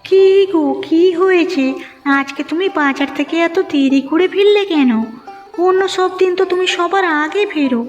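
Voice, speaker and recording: Very high-voiced village wife. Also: female voice, moderate pace, big-room sound. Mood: concerned. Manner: plaintive, inquisitive. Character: concerned, questioning village wife. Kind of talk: cartoon dialogue acting. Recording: muffled narrow-band audio with music.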